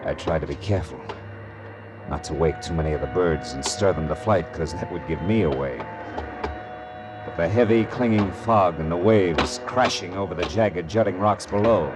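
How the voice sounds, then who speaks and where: An actor playing a wry, world-weary American private detective in a radio drama, sardonic and deadpan. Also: male voice, deep voice. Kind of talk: old-time radio detective acting and narration.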